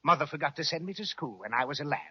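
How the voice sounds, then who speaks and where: A showy crocodile, high-pitched, flowery drawn-out speech, children's radio play, dull lo-fi recording. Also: male voice, animated, slightly rough. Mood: boastful. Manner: grandiose, flowery, drawn-out delivery. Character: vain, jolly, theatrical crocodile who fancies himself a singer. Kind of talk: old-time children's radio serial acting.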